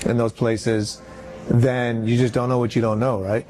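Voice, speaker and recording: A man talking casually and animatedly as a company head, with lots of "like" and "right?" and quick impressions of other people. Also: medium pitch, a crowd in the background. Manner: animated, swearing, acts out quoted voices; repeats "right?" and "like". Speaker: company chief executive. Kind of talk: casual conversation.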